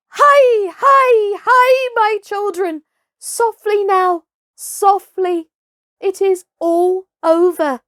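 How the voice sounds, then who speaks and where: A woman's voice: very high voice, a bit bright, no echo, clean studio sound, pitched above the performer's own voice, full character voice. A woman voicing a bold, commanding young jungle boy in a storybook reading. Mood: urgent shouting, then soothing command. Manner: urgent, exclaiming commands.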